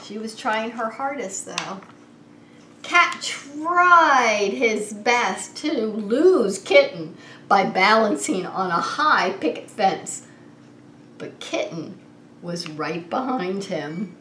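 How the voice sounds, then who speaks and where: A woman reading a picture book aloud to young children as a preschool story time host, expressive and unhurried, drawing the listeners in. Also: medium pitch. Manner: animated, unhurried, asks the child listeners questions. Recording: roomy echo with faint background noise.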